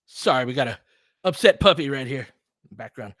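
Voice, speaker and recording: A man's voice: medium pitch, expressive, a little fast, a bit bright, dry recording, studio quality. A man giving informal, candid basketball play-by-play and opinionated commentary as the host of a fan stream.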